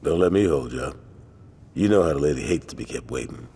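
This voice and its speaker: An actor playing a steady, streetwise gang lieutenant in a video game, casual, slangy and assured. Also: male voice, extremely low pitch, quick pace, husky, full character voice. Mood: friendly. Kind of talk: video game cutscene acting.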